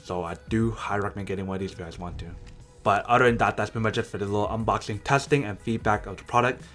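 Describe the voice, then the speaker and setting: A man's voice: lowish voice, very fast, a bit bright, faint music behind. A man casually unboxing and reviewing a gadget, chatty and addressing viewers directly. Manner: chatty, keeps addressing viewers as "you guys". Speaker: product reviewer.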